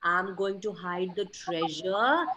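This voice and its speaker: A woman voicing a strict but loving old grandmother duck who scolds slowly and firmly. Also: on the low side, sharp tone, highs cut off, a little hiss or hum, pitched below the performer's own voice. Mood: scolding. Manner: scolding, slow and firm.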